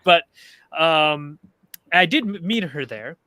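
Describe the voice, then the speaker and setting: Bright-voiced man from Canada, halting delivery. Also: a little high, animated, dry recording. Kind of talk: casual personal anecdote in discussion.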